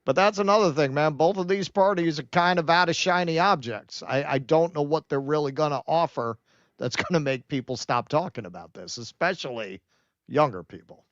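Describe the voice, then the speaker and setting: A man's voice: on the high side, normal speed, a bit bright, no echo, highs cut off. A man co-hosting a casual livestream, talking bluntly, swearing freely and calling his listener "man". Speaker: co-host.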